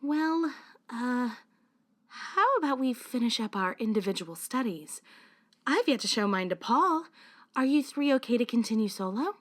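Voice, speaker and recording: A woman playing a protective high school club leader who is caring and secretly anxious, in a fan-made visual novel dub. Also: lively intonation, normal speed, natural voice. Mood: cheerful, encouraging.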